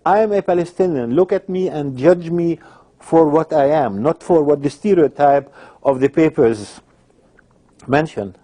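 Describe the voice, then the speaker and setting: A man's voice: a little high, a little slow, mellow. A man giving personal testimony in an emphatic manner. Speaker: interviewee telling his life story.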